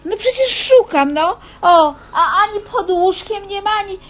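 An actress playing a fretful, flustered, high-strung woman in a comedic radio play, speaking plaintively with exclamations and stammered repeats. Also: lively intonation, normal speed, fairly bright tone.

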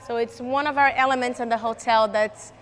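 A woman's voice: on the high side, steady tone, brisk, slight background noise. Upbeat woman giving a hotel tour. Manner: upbeat, with frequent "like" fillers. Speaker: hotel events representative. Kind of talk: guided venue tour. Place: hotel venue, indoors and on terraces. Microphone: lapel mic.

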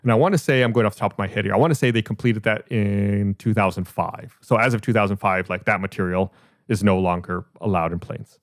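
A man's voice: on the low side, quick pace, no room sound, studio quality. An American man hosting a podcast, reading out and explaining a report in a matter-of-fact way. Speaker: podcast host.

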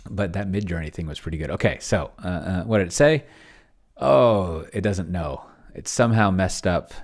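A man's voice: low voice, normal speed. A middle-aged man thinking aloud casually at his desk, often muttering and trailing off as he narrates what he is doing on screen. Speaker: host. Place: indoor home room at a desk.

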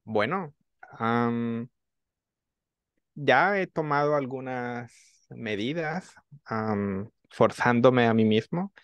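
A man in his thirties, a student in an online language class, speaking slowly and reflectively into a desk microphone in a small room. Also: mid-range voice, expressive, smooth, no room sound, highs cut off. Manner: slow, reflective, measured. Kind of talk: online language lesson chat. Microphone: desk mic on boom arm.

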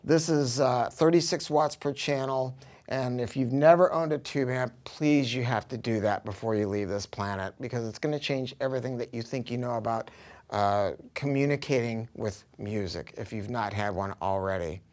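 Man in his sixties, American accent, medium pitch, casual sales talk, emphatic, slangy, unscripted. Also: moderate pace, darkish tone. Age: 60s. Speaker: hi-fi dealer presenting a product review. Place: carpeted audio showroom with curtains and acoustic panels.

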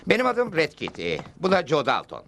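An actor playing a calm, wry, polite cartoon cowboy hero with a cool, dryly ironic delivery. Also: male voice, fairly high pitch, a little fast, a little hiss or hum, exaggerated voice. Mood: unflappable. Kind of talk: cartoon dubbing. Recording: music behind the voice.

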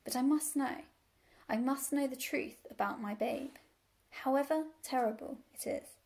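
A woman's voice: high-pitched, unhurried, a little mellow. A woman voicing a proud, exasperated old female sheepdog with a commanding manner in an animal storybook. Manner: barking, commanding, strained politeness.

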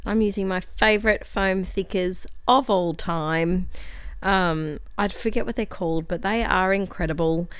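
A young Australian woman with a hoarse, croaky voice, chatting casually over her scrapbooking video with lots of fillers. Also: lowish voice, unhurried, dry recording. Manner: chatty, friendly, tangents and fillers. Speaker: scrapbooking channel host. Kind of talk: voice-over for a craft process video. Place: home craft desk.

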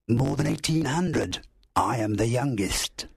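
A man reading aloud and voicing a kind but stern spirit that makes slow, solemn pronouncements in a husky voice. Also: mid-range voice, animated, dry recording, pitched above the performer's own voice, exaggerated voice. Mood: gentle.

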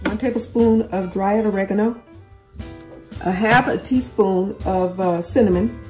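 A woman talks viewers through a recipe in her home kitchen. Her voice sits at an ordinary middle pitch, and her tone is relaxed and chatty, with plenty of self-corrections. Faint music plays underneath. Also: measured pace. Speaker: cooking channel host. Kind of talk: casual cooking tutorial.

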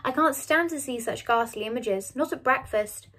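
A loving, principled mother in a storybook narration, read by a woman, gentle in manner and close to everyday speech. She speaks with quiet moral certainty and care. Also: high-pitched, normal speed. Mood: firm.

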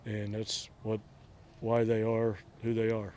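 A man's voice: fairly low pitch, monotone, unhurried, mellow, slight background noise. A middle-aged man, a head baseball coach, giving short blunt answers in a postgame interview outdoors at a ballpark at night. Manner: blunt, terse, matter-of-fact answers.

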